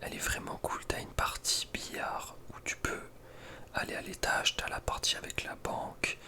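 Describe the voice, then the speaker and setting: A man's voice: moderate pace, bright, forceful tone. A young man whispering casual ASMR gaming commentary in an informal, slangy, hesitant way. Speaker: ASMR video creator.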